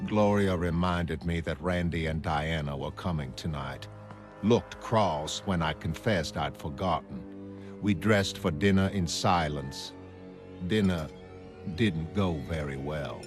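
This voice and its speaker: A man playing a troubled, weary psychiatrist who narrates his private notes in a motion comic, measured and brooding. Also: sits low, over music. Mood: somber, weary. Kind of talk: scripted diary narration in an animated motion comic.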